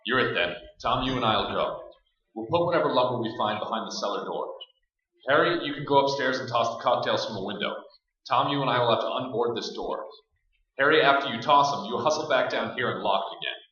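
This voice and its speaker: A man's voice: medium pitch, a little fast, pushed and bright, natural voice. A man playing a practical, urgent leader of a group of survivors in a staged radio play. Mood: commanding. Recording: very echoey stage, distant, muffled band.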